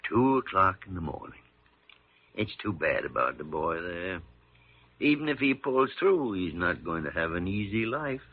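An actor playing a gruff, blunt older American senior doctor in a classic radio drama. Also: male voice, low voice, very animated, moderate pace, narrow, tinny audio, a little hiss or hum. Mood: somber. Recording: thin, band-limited old radio recording.

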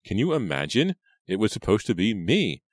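A man's voice: low voice, lively intonation, moderate pace, no room sound, studio quality, pitched above the performer's own voice. A man voicing a spoiled, irritated, self-centered rich teenage girl in an audiobook.

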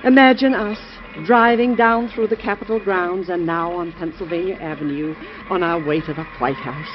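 A woman's voice: lowish voice, moderate pace, lightly acted. Actress as a gushing First Lady, muffled radio. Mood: delighted, teasing. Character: proud, delighted, affectionate wife of a new president. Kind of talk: 1940s radio acting. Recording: muffled, noisy vintage radio recording.

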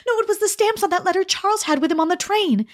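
An actress playing an anxious, earnest young widow on the run in a radio drama. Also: female voice, extremely high pitch, fast talker. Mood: alarmed.

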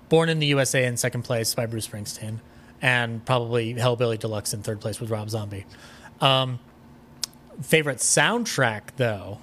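A man's voice: medium pitch, fairly bright tone. A man chatting casually as a podcast guest, rambling with enthusiasm and swearing now and then, with some noise behind him. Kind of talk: casual interview chat.

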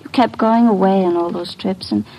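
A woman's voice: mid-range voice, steady tone, moderate pace, dark tone, a little hiss or hum, natural voice, rough recording. An actress playing an earnest, protective young woman in love in a classic radio drama. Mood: tender.

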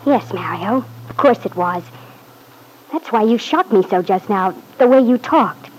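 An actress playing a devoted, anxious young wife in an old-time radio drama, pleading earnestly. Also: female voice, medium pitch, animated, unhurried, darkish tone, slight background noise, close to an everyday voice, low-quality recording. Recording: husky-sounding vintage radio recording.

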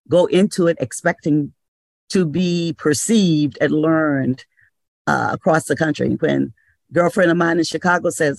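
Gravelly older woman radio owner. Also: deep voice, dry recording. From North Carolina. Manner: measured, advising, many uh pauses. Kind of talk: panel discussion. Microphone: video call.

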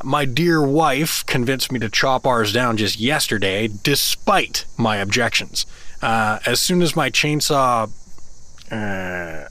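A man's voice: medium pitch, pushed and bright, no echo. A man playing an affable, flustered suburban husband stranded in a fantasy world, talking in a casual, jokey, hesitant way in a comedic audio drama.

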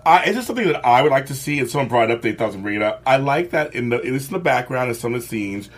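Fast-talking enthusiastic man. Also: medium pitch, animated. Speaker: co-host. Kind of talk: casual fan discussion.

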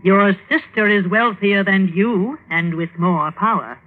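A woman playing a ruthless, scheming mother in a classic radio drama, speaking with deliberate, commanding insinuation. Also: mid-range voice, phone-quality sound, natural voice. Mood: insinuating, commanding. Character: ruthless, scheming mother goading her son to kill. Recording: band-limited old radio recording.

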